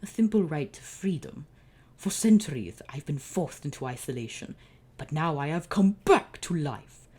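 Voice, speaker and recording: A woman voicing a vengeful villain who talks in a slow, threatening way. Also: low-pitched, expressive, darkish tone, husky. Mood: menacing. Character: menacing older man, a vengeful exiled brother.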